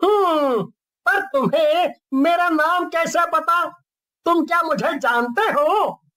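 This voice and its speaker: Woman voicing a very high-pitched skeptical village fellow. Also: takes it slowly, fairly bright tone, full character voice. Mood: suspicious. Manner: confident, challenging, mocking. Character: bold skeptical male village shopkeeper who exposes frauds. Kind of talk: cartoon story voice acting.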